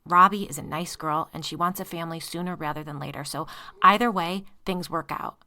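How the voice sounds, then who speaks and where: A woman reading a controlling, manipulative husband in an audiobook, calm and coaxing, turning to snarls. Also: sits low, fairly quick, dry recording. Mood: cold. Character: controlling, manipulative husband, steady-voiced man.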